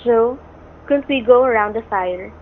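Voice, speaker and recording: A woman's voice: fairly high pitch, unhurried. An actress playing an anxious, protective young wife and mother in radio melodrama, earnest and pleading, defiant when pushed. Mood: fearful, pleading. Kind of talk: old-time radio melodrama acting. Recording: tinny vintage radio recording, background noise.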